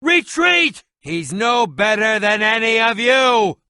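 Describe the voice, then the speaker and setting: A man's voice: extremely high pitch, animated, slow pace, sharp tone, no room sound, studio quality, exaggerated voice. A male actor voicing a haughty, scheming, villainous potion-maker in a video game, pompous, sneering and melodramatic, with over-enunciated delivery. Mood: frantic, outraged. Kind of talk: video game character acting.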